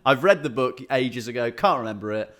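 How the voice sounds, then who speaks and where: A man's voice: medium pitch, expressive, fairly quick, fairly bright tone. A man co-hosting a comedy podcast, telling everyday anecdotes in casual, conversational chat.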